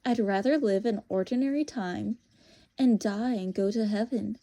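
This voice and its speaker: A woman's voice: medium pitch, moderate pace, mellow, close to an everyday voice. A woman voicing a brave, stubborn young boy in a storybook, plain-spoken and defiant.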